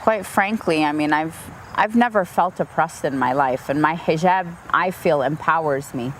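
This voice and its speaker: American woman, real low voice, telling her story straight and lively. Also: normal speed, a little hiss or hum, low-quality recording. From United States. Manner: frank, animated storyteller. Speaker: interviewee. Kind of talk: interview, personal account.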